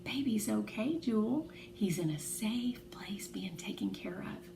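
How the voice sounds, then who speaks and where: A woman voicing a fierce, protective girl who can be forceful or tender, in a children's book read aloud. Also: medium pitch, big pitch swings, a little slow. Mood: hushed, tender. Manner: forceful when challenged, tender and hushed with friend. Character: fierce, excitable girl, bossy and protective friend. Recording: echoey room with clear background noise.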